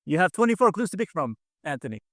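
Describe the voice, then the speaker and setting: Guy hosting a casual online trivia game, voice on the higher side, encouraging, dry sound. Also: very animated, fast talker, no room sound. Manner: encouraging, repeats himself. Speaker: quiz show host. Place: online video call.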